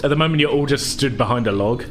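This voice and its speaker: A man's voice: mid-range voice, fast talker, crowd noise. A man narrating a casual tabletop game as game master in a conversational, loosely descriptive way. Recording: loud music behind voice. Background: music.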